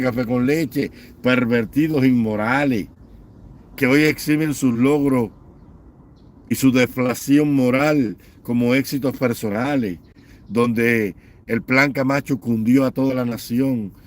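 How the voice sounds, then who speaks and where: A man giving an impassioned political rant, piling up scornful lists and mocking. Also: mid-range voice. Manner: fiery, repetitive listing, mocking. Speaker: political commentator.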